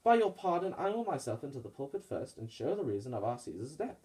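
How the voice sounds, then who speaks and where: An earnest, honourable Roman conspirator, voiced by a man, speaks in a middle-pitched voice. He declaims formal Shakespearean verse persuasively, in the theatrical manner of a puppet show. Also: sing-song, fast, dark tone, natural voice. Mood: assured, reasoning.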